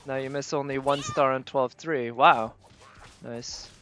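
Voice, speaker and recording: A woman giving casual livestream game commentary, thinking aloud in halting fragments as she plays. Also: very deep voice, fairly even intonation, fairly bright tone, faint music behind. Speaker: game streamer.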